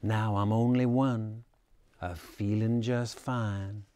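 An older man reading a children's picture book aloud with a theatrical, drawn-out delivery. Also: lowish voice, fairly even intonation, mellow, no room sound. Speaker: storybook reader. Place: small furnished room indoors.

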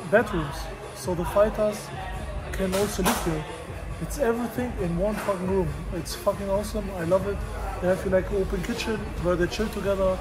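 A high-voiced man in his thirties talks bluntly and jokingly through a gym vlog. Music runs under him as he coaches and chats, speaking on a lapel mic in an open-air gym. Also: rough recording. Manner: blunt, joking, non-native English. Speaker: vlogger and Muay Thai coach. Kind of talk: casual vlog talk.

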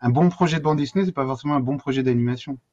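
A middle-aged man talks quickly and informally as part of a panel discussion. His voice comes through a slightly dull, lo-fi video call. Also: medium pitch, darkish tone. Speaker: panel guest.

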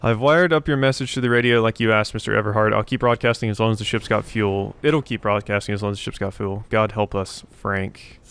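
Man with a clear, smooth voice. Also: fairly low pitch, fairly even intonation, fairly quick, hardly any pauses, fairly bright tone. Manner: thinks aloud, talking to himself and the game. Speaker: gaming video host. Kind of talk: casual let's play commentary, reading game notes aloud.

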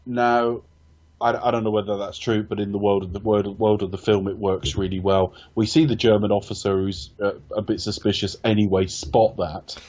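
A man's voice: fairly low pitch, level tone, moderate pace, darkish tone. A man from Ireland hosting a casual film review podcast, rambling and wry, often correcting himself. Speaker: podcast host. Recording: dull lo-fi podcast audio.

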